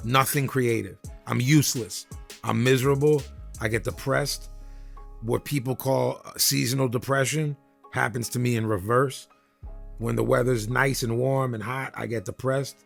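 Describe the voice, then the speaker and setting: A man with a gravelly, creaky voice talks candidly about himself as a recording artist. He is halting and blunt, thinking aloud, with faint music underneath. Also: mid-range voice, fairly even intonation. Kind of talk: candid interview talk.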